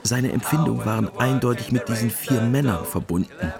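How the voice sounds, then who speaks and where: A man's voice: mid-range voice, brisk, vocal fry, close to an everyday voice. An actor playing a sober male narrator of a literary war story in a radio play. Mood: sober, ironic. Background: music.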